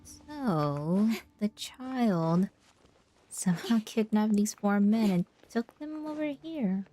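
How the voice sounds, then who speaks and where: A woman's voice: mid-range voice, animated, takes it slowly, warm tone, soft background music. A woman chatting casually as she streams a video game. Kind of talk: casual live stream chat.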